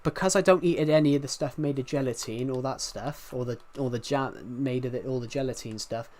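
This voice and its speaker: A young man from the UK talks with a thick regional British accent at an ordinary middle pitch. He chats casually with his stream viewers and rambles from one thing to the next, often breaking off to restart what he is saying. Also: brisk, darkish tone, a little hiss or hum. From United Kingdom. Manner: rambling, many restarts, chatty and candid. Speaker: live streamer. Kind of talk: casual chat with live viewers.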